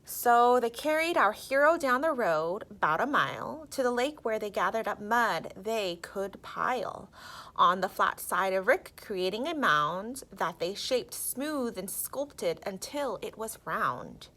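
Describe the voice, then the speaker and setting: Female picture-book narrator, unhurried, bright and highly animated, emphatic delivery. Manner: theatrical, emphatic. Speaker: children's story reader. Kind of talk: expressive scripted storybook narration.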